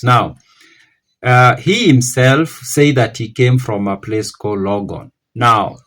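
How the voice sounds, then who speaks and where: A man's voice: on the low side, a bit bright. A man hosting a history channel, talking it through off the cuff, often stopping to correct himself. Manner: rambling, self-correcting, thinking aloud. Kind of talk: unscripted history talk to camera.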